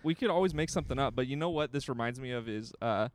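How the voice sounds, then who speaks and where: Clear-voiced young male podcast co-host. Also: mid-range voice, fast talker. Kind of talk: casual joking chat. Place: outdoor courtyard at night.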